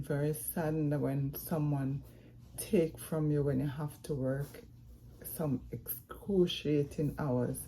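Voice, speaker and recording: Woman, Jamaican accent, husky, slow unhurried talk, earnest vlog chat. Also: sits low, mellow, a little hiss or hum, low-quality recording. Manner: earnest, repetitive, addresses viewers as guys. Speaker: vlogger. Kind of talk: casual vlog update.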